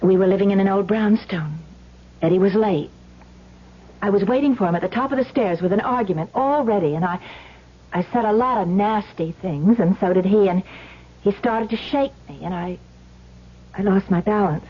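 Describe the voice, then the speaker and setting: A woman's voice: mid-range voice, moderate pace, husky, natural voice. An actress playing a wry, bitter wife of a crook, probing as she talks, in a 1970s radio drama. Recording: dull lo-fi old radio recording.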